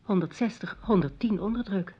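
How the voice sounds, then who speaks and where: An actress playing a doctor's guarded, quietly reproachful wife in a radio play, terse and understated. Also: female voice, mid-range voice, normal speed, breathy edge, lightly acted. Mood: guarded, attentive. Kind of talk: Dutch radio play dialogue. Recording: dull lo-fi radio play recording.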